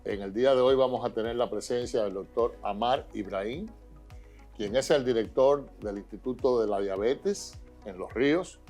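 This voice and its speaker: A man co-hosting a health talk show, introducing topics in a steady, listing way. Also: medium pitch, moderate pace, soft background music. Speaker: co-host, physician.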